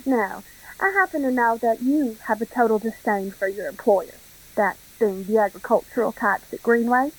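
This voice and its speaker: A woman's voice: a little high, moderate pace, natural voice, poor audio. A woman playing a smug, scheming Southern crime boss in a radio play, with an effusive, mock-genteel drawl. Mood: sly, persuasive.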